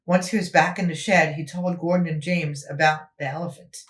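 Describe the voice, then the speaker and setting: A woman's voice: sits low, fairly even intonation, fairly quick, reverberant. A woman storyteller narrating a children's book expressively, as if for young listeners.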